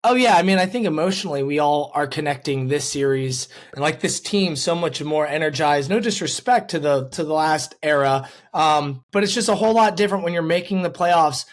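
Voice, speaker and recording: Guy hosting a sports pod, pretty high voice, hyped and super informal, chatty. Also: animated, brisk, hardly any pauses. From US West Coast. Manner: enthusiastic, informal. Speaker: podcast host. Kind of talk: casual sports podcast chat.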